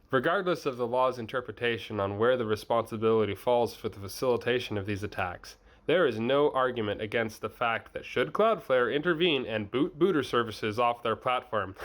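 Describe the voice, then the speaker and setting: Man reading an article aloud. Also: mid-range voice. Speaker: host reading a blog post aloud. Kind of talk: reading aloud, with casual asides.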